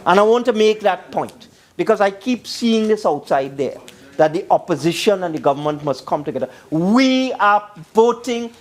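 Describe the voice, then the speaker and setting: Trini guy, pretty high voice, emphatic politician speech, repeats himself to make the point. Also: expressive, moderate pace. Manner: emphatic, repeats phrases for stress. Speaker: government minister speaking in parliament. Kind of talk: parliamentary debate speech.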